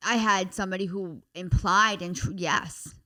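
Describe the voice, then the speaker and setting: A woman co-hosting a casual talk show, with a loud voice, outspoken and reflective. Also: medium pitch, fairly even intonation, moderate pace. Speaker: podcast co-host. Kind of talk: casual chat.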